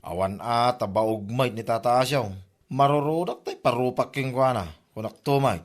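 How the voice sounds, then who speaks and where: A man's voice: medium pitch, moderate pace, close to an everyday voice. A man with an Ilocano accent playing a village husband in conversation in a comedy drama. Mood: conversational. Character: village husband chatting with his wife.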